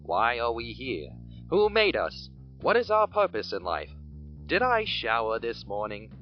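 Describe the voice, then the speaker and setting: A man's voice: medium pitch, huge pitch swings, a little slow. A man playing a hammy announcer in a mock radio commercial within an audio drama. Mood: salesy. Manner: hammy, salesy, showy rises. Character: overblown male commercial announcer. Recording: lo-fi recording with guitar music.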